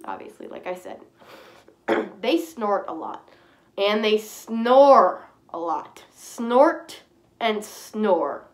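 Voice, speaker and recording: A woman talks casually straight into a webcam in a small indoor room, her voice thick and stuffy from a cold. She rambles along, keeps clearing her throat, and is picked up close by the built-in mic. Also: mid-range voice. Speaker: fursuit vlogger. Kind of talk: casual chat to camera.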